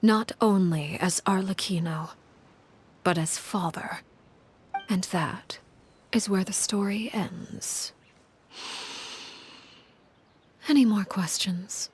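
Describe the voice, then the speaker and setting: A woman playing a cold, composed, commanding woman in a video game, telling a story in a measured, solemn, breathy voice. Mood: cool, reflective. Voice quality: low, breathy, husky. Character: composed, cold, commanding adult woman recounting her past.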